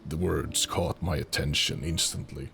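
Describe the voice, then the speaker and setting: A man narrating a fantasy audio drama in a slow, deliberate, brooding way. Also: rumbling low, steady tone, vocal fry, a bit bright, a little hiss or hum. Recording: music under the narration. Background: music.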